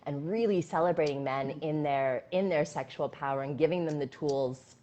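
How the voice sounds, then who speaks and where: A young adult woman with a low-pitched voice speaks in a loose, flowing way. She talks about her coaching work with lots of fillers and restarts, sounding effusive. She is recorded on a phone during a live video chat. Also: normal speed, dark tone, lo-fi sound. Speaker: intimacy coach guest. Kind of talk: casual live-stream conversation. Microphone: phone on a stand.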